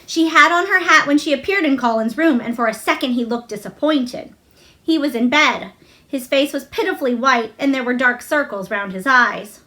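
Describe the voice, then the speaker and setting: Female storybook reader with a high voice, narrating a children's novel aloud. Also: moderate pace, sharp tone.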